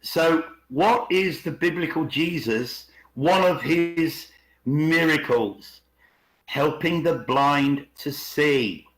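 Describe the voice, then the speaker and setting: A man's voice: a little high, slow pace. Echoey man explaining things on a podcast. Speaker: podcast co-host. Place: indoors on an online group call. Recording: roomy, echoing online call.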